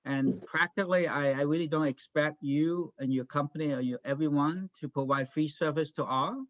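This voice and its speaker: A man's voice: a little high, moderate pace. Man in a muffled, echoey online meeting. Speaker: meeting host. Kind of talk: informal online meeting moderation. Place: online group video call. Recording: muffled, echoey video call audio.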